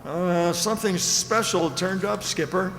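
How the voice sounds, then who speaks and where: Man as a genial radio-drama officer, slightly high voice, brisk manner, echoing hall. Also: a bit bright. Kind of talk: old-time radio drama acting. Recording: echoey reverberant hall recording.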